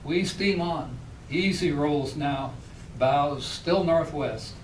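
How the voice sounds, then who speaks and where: A man's voice: fairly high pitch, slow pace. A man who is a poet, reading his own work aloud at a live poetry reading. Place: public library reading room. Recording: echoey room, distant mic, faint noise.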